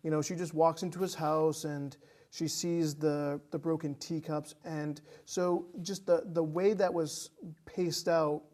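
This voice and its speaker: Higher-voiced man, hesitant film chat. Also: level tone, unhurried, darkish tone. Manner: hesitant, feels his way through thoughts, repeating phrases. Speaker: podcast co-host. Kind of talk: casual film discussion.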